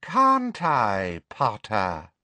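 A man voicing a gaunt, menacing dark lord in an audiobook, speaking softly in a high, cold voice with quiet menace. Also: wildly swooping pitch, very slow, no echo, full character voice. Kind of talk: audiobook character voice.

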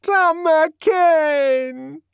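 A man voicing a desperate, wounded middle-aged woman in a storybook reading, shrill and pleading. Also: sing-song, a little slow, clear, resonant tone, dry recording, studio quality. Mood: anguished pleading. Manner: pleading, wailing.

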